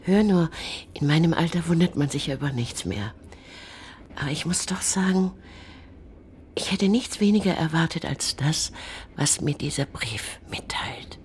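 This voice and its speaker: An older woman playing an astonished, gently wry mother in a radio drama, with a breathy, creaky voice and a hushed, intimate manner. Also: sits low, expressive, unhurried, a little hiss or hum. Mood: quietly astonished.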